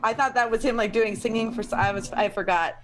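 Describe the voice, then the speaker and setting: Higher-pitched woman chatting casually. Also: fast talker, a loud crowd. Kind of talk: casual chat.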